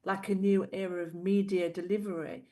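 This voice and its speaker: A woman's voice: medium pitch, level tone, normal speed, a little mellow. A middle-aged woman with a Yorkshire accent, a guest telling her life story thoughtfully and laughing often. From Yorkshire, England. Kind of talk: interview storytelling.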